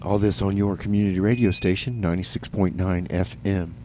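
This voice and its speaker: A man's voice: deep voice, steady tone, fairly quick, dark tone, a little hiss or hum. A man hosting a community radio show, chatting in a rambling, easygoing way with lots of fillers between songs. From New Mexico, USA.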